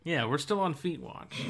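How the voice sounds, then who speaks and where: A man's voice: fairly high pitch, animated, a little slow, gravelly, very noisy. A man co-hosting a podcast, talking casually and informally about video games. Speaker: podcast co-host.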